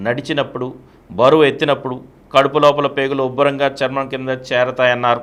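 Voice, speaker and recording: A man's voice: medium pitch, a bit bright. A man reading scripted news as a bulletin presenter. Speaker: news reader.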